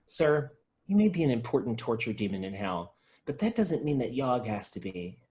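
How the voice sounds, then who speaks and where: Man as an animated, geeky store clerk. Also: medium pitch, normal speed, close to an everyday voice. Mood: earnest. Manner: earnest, conversational. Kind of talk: comedy script table read.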